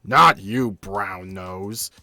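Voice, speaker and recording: A man playing a brash, slow-talking drunk delinquent. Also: low voice, bright, forceful tone, no echo, heavily acted. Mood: rude, demanding. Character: rude drunken male bar delinquent, brash and lecherous. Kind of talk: fan dub of a visual novel.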